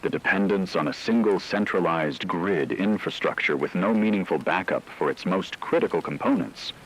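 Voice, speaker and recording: A man playing a grave radio announcer, reading a formal scripted disaster briefing in a measured, solemn, technical manner. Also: sits low, very animated, gravelly, slightly rough, exaggerated voice, poor audio. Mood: measured, sober. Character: grave male radio announcer delivering disaster status reports. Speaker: broadcast announcer.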